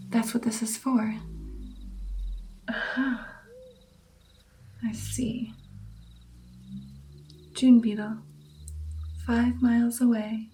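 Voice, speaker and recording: A woman plays a female vampire mentor in an intimate ASMR roleplay. The voice is soft, mellow and slightly high, often sinking into a breathy whisper. Each phrase is unhurried, coaxing the pupil along with gentle pride and playful affection. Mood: encouraging, admiring. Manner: slow, coaxing, encouraging, lingering over phrases. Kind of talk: ASMR roleplay, personal attention.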